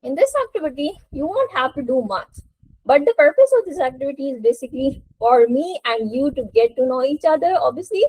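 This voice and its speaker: High-pitched woman teaching English online. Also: lively intonation, a little fast, a little mellow, no room sound, poor audio. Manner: encouraging, prompting participants. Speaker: English teacher hosting a webinar. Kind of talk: spoken English lesson to a group. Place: online video call.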